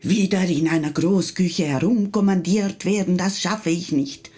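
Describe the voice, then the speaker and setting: A woman's voice: fairly low pitch, unhurried, dark tone, slightly rough. An actress playing a troubled, earnest Sicilian-born housekeeper and mother in a German radio drama, with an Italian accent and a hesitant, emotional delivery. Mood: agitated, indignant.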